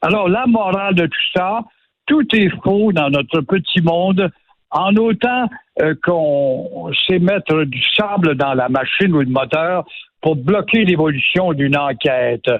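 Sarcastic quebec guy ranting on the radio, thin phone-line sound, fairly high voice. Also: unhurried, dry recording. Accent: Quebec French. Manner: sarcastic, indignant. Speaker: radio commentator. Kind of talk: opinionated radio chat.

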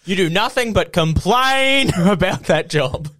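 A man's voice: high-pitched, lively intonation, a little slow, fairly bright tone. A man co-hosting a comedy podcast, teasing his partner with laughter and swearing. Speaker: podcast co-host. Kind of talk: comedic improvised chat.

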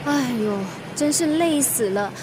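An actress playing a stubborn, jumpy young student with a Singaporean accent, complaining expressively in a radio drama. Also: female voice, high voice, animated, unhurried, background noise. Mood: weary, grumbling. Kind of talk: radio drama acting, conversational.

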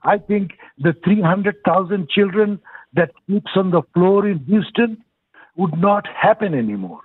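A man's voice: sits high, animated, a little slow, husky, no room sound. A man in his sixties from Bangladesh with a Bangladeshi accent, a nonprofit director calling in to an interview, speaking earnestly with hesitant restarts. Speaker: nonprofit executive director, guest. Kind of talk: casual interview over the phone. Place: calling in remotely. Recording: tinny phone line.

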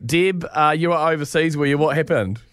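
Kiwi radio host, mid voice, quick friendly reactions, dry studio. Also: mid-range voice, a bit bright, clean tone, dry recording. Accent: New Zealand. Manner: friendly, brief reactions and caller introductions. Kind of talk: casual radio chat.

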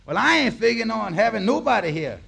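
Trusting family man, 1940s radio comedy: high, husky voice, folksy ungrammatical lines. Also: sing-song, quick pace, a bit bright, heavily acted. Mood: plain, trusting. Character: mild, trusting, honest working family man. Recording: vintage 1940s radio broadcast.

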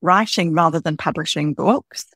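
A woman author speaking reflectively as an interview guest, pausing to search for words. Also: low-pitched.

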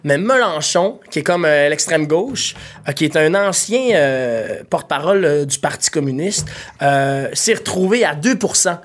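A young man with a Quebec French accent, chatting passionately about politics, swearing casually and filling pauses with "euh". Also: a little high, expressive.

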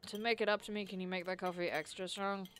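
Reluctant young female investigator, played by a woman: jaded, dry, irreverent, medium pitch, natural drama delivery. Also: fairly even intonation, quick pace, fairly bright tone, slight background noise, lightly acted. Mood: weary, sarcastic. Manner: dry, blunt, casual. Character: jaded, sarcastic, foul-mouthed young woman, reluctant sidekick. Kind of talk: naturalistic audio drama dialogue.

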